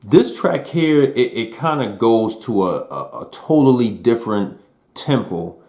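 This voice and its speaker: A man in his forties hosting a casual album review, talking to viewers in a relaxed, slangy way. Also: mid-range voice, unhurried, soft background music. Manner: laid-back slangy talk to viewers.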